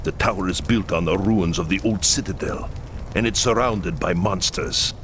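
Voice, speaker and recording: An actor playing a proud, solemn leader of a war-torn camp in a fantasy game cutscene, speaking earnestly with music behind. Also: male voice, low voice, normal speed, a bit bright, a little hiss or hum. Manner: earnest and solemn, pleading at times. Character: grave, proud Kislevite camp leader, middle-aged man. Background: orchestral game music.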